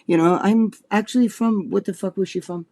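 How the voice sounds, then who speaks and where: Older New Jersey man, podcast co-host, foul-mouthed animated storyteller with a slightly higher voice. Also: fairly quick. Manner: animated, profane, acts out quotes in a high voice. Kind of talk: casual storytelling chat.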